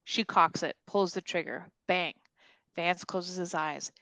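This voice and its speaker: A woman's voice: on the low side, fairly even intonation, measured pace, a bit bright, no echo. A woman narrating action and stage directions from a script at a virtual table read.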